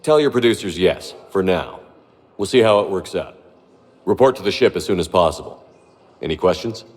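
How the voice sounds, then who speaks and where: An actor playing a resolute military commander in a video game, measured, terse and earnest. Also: male voice, sits low, lively intonation. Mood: businesslike. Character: resolute military commander, adult man, grave and steady. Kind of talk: video game dialogue acting. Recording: reverberant hall sound.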